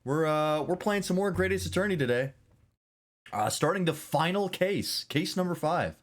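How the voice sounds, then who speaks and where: A man's voice: a little high, lively intonation, normal speed. A man streaming a game, chatting casually and rambling with self-deprecating jokes.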